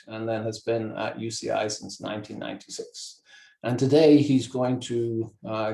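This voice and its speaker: Irish host introducing, mellow voice, echoey room. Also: male voice, mid-range voice, creaky. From east of Ireland. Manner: warm, chatty, hesitant with fillers. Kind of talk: seminar introduction.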